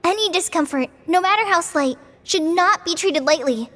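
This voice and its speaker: An actress voicing an earnest, excitable young girl companion in a fantasy video game, with emphatic delivery. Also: extremely high pitch, moderate pace, in a large hall. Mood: concerned, urging. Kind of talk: scripted video game voice acting.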